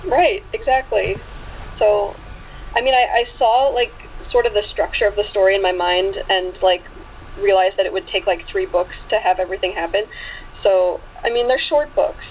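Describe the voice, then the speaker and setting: A woman's voice: mid-range voice, normal speed. Woman on a tinny, noisy phone line. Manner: chatty, frequent 'like' and 'you know'. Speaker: guest author and actress. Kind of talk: casual interview chat. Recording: tinny phone line with clear background noise.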